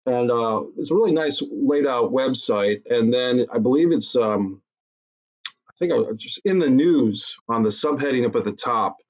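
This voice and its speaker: A man's voice: mid-range voice, moderate pace. Friendly male guest host. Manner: friendly, hesitant questions. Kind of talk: casual interview.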